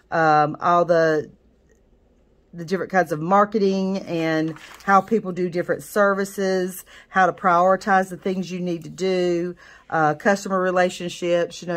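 Woman, lowish voice, chatty, nail tech book review, fillers and restarts.

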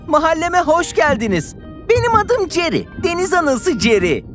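A woman's voice: extremely high pitch, very animated, normal speed, a bit bright, soft background music, full character voice. A woman voicing a friendly, eager cartoon jellyfish who chats like a welcoming tour guide. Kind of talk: children's cartoon dubbing in Turkish.

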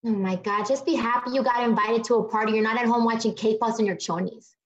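High-voiced, sassy young female artist played by a woman, blunt comic banter at a script read. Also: fast talker, lo-fi sound, lightly acted. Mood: exasperated. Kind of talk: comedic table read. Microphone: video call.

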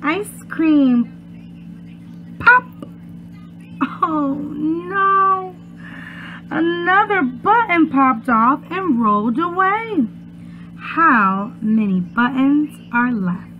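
A young woman with a high voice talks to preschoolers as their teacher, reading aloud and chatting. She takes her time and sounds expressive and encouraging. The sound is slightly tinny and muffled, as from a webcam at home. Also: drawn-out words, long pauses, slight background noise. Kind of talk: children's read-aloud and chat. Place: small furnished room at home, indoors.

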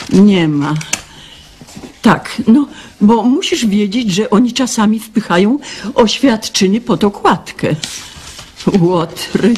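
An actress playing a suspicious, moralizing old grandmother in a radio drama, with a husky, raspy voice and a fussy, admonishing manner. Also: mid-range voice, darkish tone, slight background noise. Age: older.

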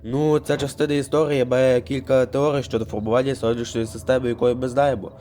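A man playing a calm storyteller in a cartoon, explaining planetary history in a measured, scripted way. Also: medium pitch, a little hiss or hum, natural voice. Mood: explanatory. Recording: music behind the voice.

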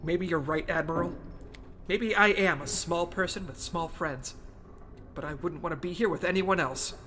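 A male actor playing an earnest, excitable and loyal secret agent in an audio drama. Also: on the high side, normal speed, slight background noise. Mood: quiet, sincere.

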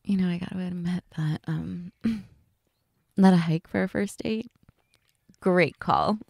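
Woman acting a flirty, slow-talking date, creaky voice. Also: fairly low pitch, a little mellow, no room sound, clean studio sound. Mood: flirty, amused. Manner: giggly, hesitant, teasing, conversational. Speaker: audio roleplay voice actress.

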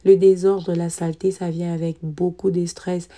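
A woman in her thirties, a mother, narrating a cleaning vlog in a calm, relaxed voice-over with frequent hesitant fillers. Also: lowish voice, normal speed. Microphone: voice-over recorded separately.

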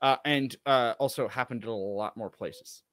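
A man co-hosts a casual political stream from an indoor setup. His voice sits at a middle pitch with very little room sound. He moves haltingly between reading aloud and sardonic commentary. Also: fairly bright tone, no room sound. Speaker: show co-host reading and commenting on an article. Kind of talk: casual political livestream chat with article read aloud. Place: indoor room at home, livestream video call.

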